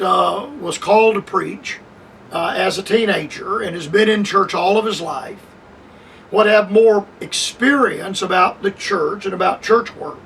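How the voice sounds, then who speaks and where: An older man teaches scripture on a radio broadcast in a high, earnest voice. He talks in measured phrases, repeating words and pausing on uh. The mic sits a little far off, with faint hiss behind him. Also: gravelly, bright, forceful tone. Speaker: radio preacher.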